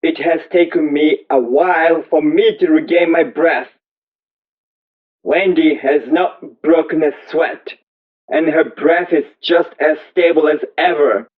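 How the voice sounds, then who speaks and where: A man reading a first-person story aloud as scripted narration, in slow, deliberate, measured phrases. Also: fairly high pitch, steady tone. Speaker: audiobook reader. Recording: thin, phone-like narrow-band audio.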